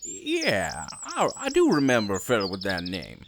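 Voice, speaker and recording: Little frog-like creature, Southern US drawl, very animated swooping pitch, polite and folksy, audio drama. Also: male voice, moderate pace, gravelly, a little hiss or hum, exaggerated voice. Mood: helpful.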